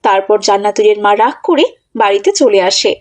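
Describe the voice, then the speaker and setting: A woman's voice: mid-range voice, fairly quick. A woman narrator reading a scripted moral story for children.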